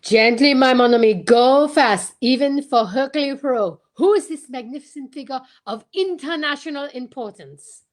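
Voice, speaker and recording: A woman's voice: high voice, normal speed, hardly any pauses, natural voice. A woman playing a courteous, self-assured male Belgian detective in an old-time radio style drama, theatrical and peppered with French phrases. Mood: measured, curious.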